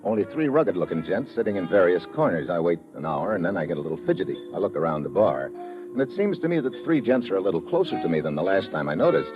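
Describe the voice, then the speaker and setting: A man acting a tough, wry American investigator in a hard-boiled, clipped golden-age radio drama style. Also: sits low. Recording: muffled old radio recording with music.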